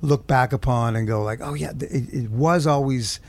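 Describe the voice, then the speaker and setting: A man's voice: mid-range voice, moderate pace. A man from Southern California, a guest musician in a casual interview, rambling and searching for words. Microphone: handheld mic held close.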